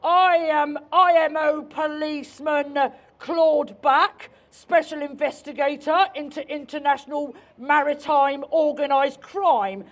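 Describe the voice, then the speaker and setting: Pompous puppet cop played by a woman, high and deliberate, declaiming like street theatre. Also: steady tone. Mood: officious, accusing. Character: officious puppet policeman, special investigator into maritime crime. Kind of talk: satirical street puppet show.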